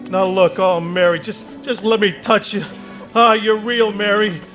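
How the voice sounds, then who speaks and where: An actor playing an excited, stammering family man in a live radio play before an audience. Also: high voice, measured pace, over music. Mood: joyful. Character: overjoyed family man, exuberant father.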